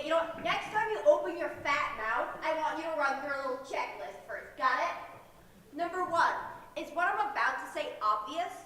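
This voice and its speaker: A woman's voice: high voice, bright, forceful tone. A woman playing a snippy, sarcastic young zombie survivor who scolds others in a comedic stage play. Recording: echoey room, distant mic, faint noise.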